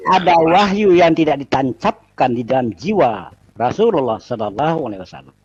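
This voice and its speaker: A husky-voiced man explains and defends his beliefs in a religious debate. His mid-pitched voice comes through dry, on dull, lo-fi audio. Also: sing-song, fairly quick, a little mellow, no room sound.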